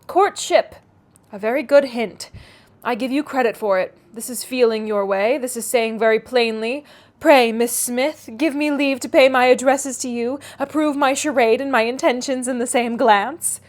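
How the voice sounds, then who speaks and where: A woman voicing a self-assured young gentlewoman matchmaker in a classic novel, knowing, precise and a little condescending. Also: fairly high pitch, normal speed, close to an everyday voice. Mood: pleased, amused. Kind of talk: classic novel read aloud.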